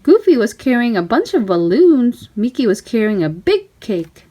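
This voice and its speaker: Female storybook reader for young children: high voice, very expressive, swooping delivery, emphatic storytelling. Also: normal speed. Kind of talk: expressive children's read-aloud narration.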